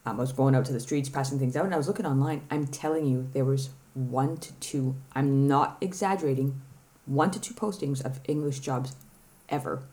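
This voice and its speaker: A middle-aged woman with a Canadian accent chats casually as a podcast guest, animated, blunt and prone to swearing. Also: a bass voice, a little fast. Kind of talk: casual chat.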